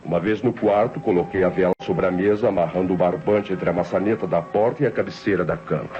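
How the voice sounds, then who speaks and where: An actor playing a cold-blooded, scheming nephew who narrates his murder plot in deliberate, theatrical 1960s radio crime drama style. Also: deep voice, lightly acted. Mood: calculating, confiding. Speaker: narrator and protagonist. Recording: muffled old radio recording with music.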